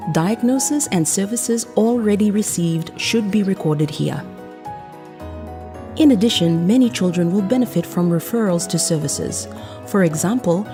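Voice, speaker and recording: Lower-pitched woman narrating over music. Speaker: training film narrator. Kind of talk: scripted instructional narration. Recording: music behind the voice. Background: background music.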